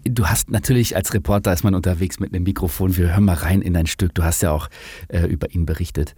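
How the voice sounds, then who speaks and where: A man from Germany hosting a podcast, asking his guest questions in a measured way. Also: sits low, steady tone, warm tone, breathy edge, no room sound, clean studio sound. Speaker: podcast host. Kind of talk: podcast conversation.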